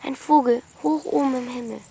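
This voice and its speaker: A woman's voice: sits high, fairly even intonation, brisk, vocal fry, warm tone, a little hiss or hum. A woman playing a bold, bossy thirteen-year-old village girl in a German radio play, blunt and insistent. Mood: coaxing, insistent.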